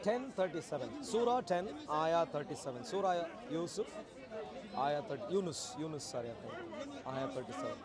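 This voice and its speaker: A man's voice: a little high, sing-song, moderate pace. A man in his forties debating religion off the cuff in the street, insistent, repeating his questions and talking over his opponent. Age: 40s. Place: outdoors at a public park speakers' corner. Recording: outdoor street recording with crowd noise.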